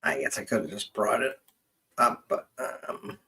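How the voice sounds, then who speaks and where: A man hosting a casual genealogy stream, talking in a halting way as he thinks aloud during a screen-share. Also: fairly low pitch, animated, pushed and bright, slightly rough.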